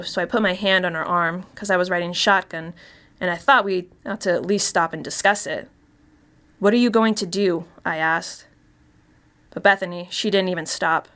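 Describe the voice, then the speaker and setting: A woman's voice: fairly low pitch, fairly bright tone, natural voice. A woman actor playing a chatty, darkly gleeful killer confessing in a first-person mystery story, in a conversational, confiding way. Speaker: actor reading a short story.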